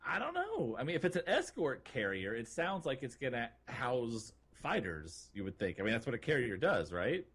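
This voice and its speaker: A man's voice: mid-range voice, huge pitch swings, moderate pace, hardly any pauses, fairly bright tone, breathy edge. A man co-hosting a gaming podcast, chatting informally in a joking way. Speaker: podcast co-host. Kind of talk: casual gaming chat.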